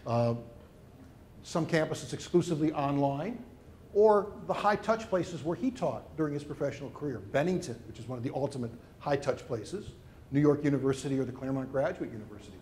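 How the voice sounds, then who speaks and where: A middle-aged man, an education researcher, answering interview questions in an expansive, discursive way with lively emphasis. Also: on the high side, brisk, slight background noise.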